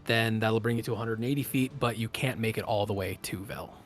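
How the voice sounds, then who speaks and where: A man running a tabletop game as game master, narrating the action casually and thinking aloud in halting phrases. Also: fairly low pitch, fairly even intonation, faint music behind.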